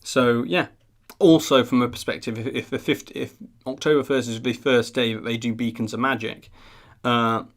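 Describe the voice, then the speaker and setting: A man's voice: mid-range voice, fairly even intonation, moderate pace. A man hosting a live stream, chatting casually in an earnest, rambling, self-deprecating way. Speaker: live stream host of a theme park news channel.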